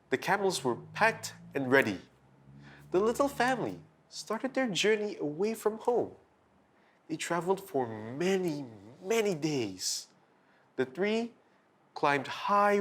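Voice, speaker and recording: A man narrating a scripted bedtime story for children as its host, with expressive, dramatic delivery. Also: on the high side.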